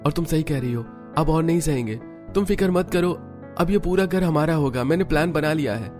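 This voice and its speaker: A man performs a young, scheming husband for a cartoon family drama. The voice is lifted high and boyish, weak-willed and crafty in manner, with light music underneath. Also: a little fast, a little mellow, natural voice. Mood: resentful, resolved. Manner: pleading with wife, gloating with family. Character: Vicky, scheming hen-pecked young husband and younger son. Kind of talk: Hindi cartoon story dub.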